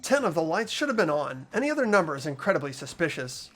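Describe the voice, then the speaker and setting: A performer voicing an earnest, anxious young male student who reasons out loud, in a fan dub of a video game. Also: medium pitch, very animated, fast, faint music behind. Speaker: main protagonist.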